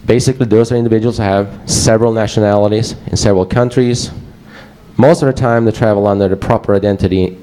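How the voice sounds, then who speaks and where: Man with a Swedish accent and a low, warm voice, presenting casually and wryly to a crowd. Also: fairly even intonation, quick pace, poor audio. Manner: casual, joking, digressive. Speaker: conference speaker. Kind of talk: presentation talk to a live audience.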